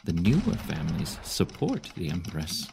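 An actor playing an older Roman general in an audio drama, authoritative and deliberate, kindly yet shrewd. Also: male voice, low-pitched, huge pitch swings, creaky, darkish tone, slight background noise, exaggerated voice. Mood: shrewd, probing.

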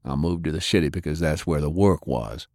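Man doing a very deep, gruff, laconic tough guy. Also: a little fast, darkish tone, no room sound, clean studio sound. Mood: gruff, matter-of-fact. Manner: terse, folksy, laconic. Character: big gruff plain-spoken country-bred American war veteran detective.

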